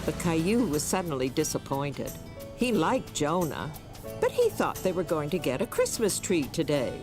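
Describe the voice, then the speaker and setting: A woman playing the gentle storybook narrator of a children's cartoon, telling it expressively. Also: low-pitched, huge pitch swings, normal speed. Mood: gentle storytelling. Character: warm storybook narrator of a small boy's adventures. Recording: music behind the voice.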